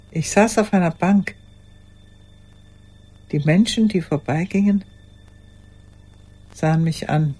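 An actress playing an old woman in a radio play monologue, courteous and haunted, recalling the past in a halting, breathy, raspy voice. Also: lowish voice, darkish tone, heavily acted. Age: older. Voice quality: husky, raspy, breathy, often dropping to a whisper. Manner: deliberate, reflective, halting.

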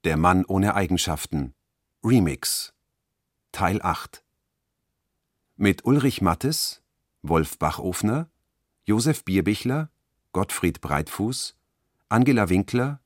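A male announcer reads radio drama credits in a lowish voice. He goes very slowly, and the recording is dry with no room sound. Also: animated, dry recording.

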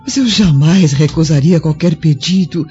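A woman's voice: sits low, normal speed, mellow, dull audio, soft background music, natural voice. A woman playing a devoted servant woman who speaks humbly to her mistress in a radio drama. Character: loyal devoted female servant.

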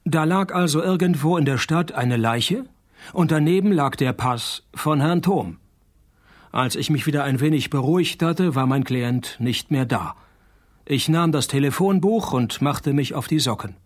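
Husky, sardonic private eye. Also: male voice, fairly high pitch, unhurried. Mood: deadpan narrating. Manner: dry, deadpan, sarcastic. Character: cynical, sardonic male private detective. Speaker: lead character and narrator. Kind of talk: radio play dialogue and noir-parody narration.

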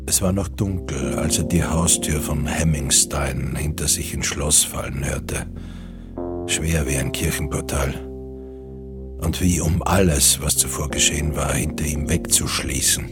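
A man's voice: rumbling low, steady tone, a little slow. A man reading scripted literary narration for a radio drama. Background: music.

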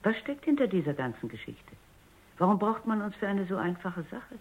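A woman playing a stern, sharp-minded robot psychologist with a blunt, probing manner in a German radio drama, on a thin radio recording. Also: mid-range voice, very animated, brisk, phone-quality sound. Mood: insistent questioning.

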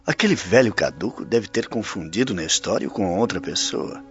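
A man's voice: lowish voice, lively intonation, moderate pace, fairly bright tone, soft background music. A man playing a scornful, suspicious, perplexed Roman in a radio drama. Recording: dull lo-fi audio.

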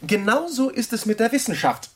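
A man's voice: very high-pitched, very animated, fairly quick, fairly bright tone, exaggerated voice. A man acting in a German radio drama as a big, self-assured young Oxford scholar, debating in a pompous, ironic, lecturing way.

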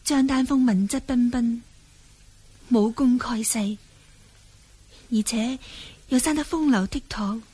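A shy, innocent wuxia heroine passing as a young man in a Cantonese radio drama. Her voice is high and closely recorded, with a Hong Kong accent. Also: slow pace, long pauses, mellow, slightly rough, no room sound. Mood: dreamy musing.

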